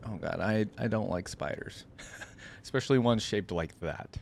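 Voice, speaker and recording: A man's voice: fairly low pitch, normal speed, gravelly, a little hiss or hum. A man giving casual reaction commentary as the host of a video.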